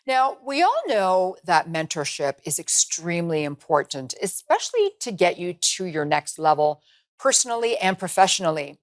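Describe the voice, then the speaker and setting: A woman with a Canadian accent, hosting a television interview show and questioning her guest in an expressive way. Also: fairly low pitch, moderate pace. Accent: Canadian English. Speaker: talk show host.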